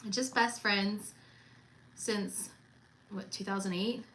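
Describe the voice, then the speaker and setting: A woman's voice: mid-range voice, steady tone, takes it slowly, a bit bright. A woman in her thirties co-hosting a cruise livestream, chatting casually with her friend and teasing her with frequent laughter. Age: 30s.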